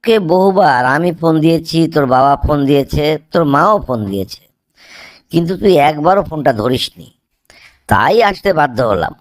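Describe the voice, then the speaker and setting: A man's voice: a little high, lively intonation, a little fast, close to an everyday voice. A man playing a grave, reproachful older uncle in an amateur cartoon. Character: older paternal uncle, grave bearer of bad news.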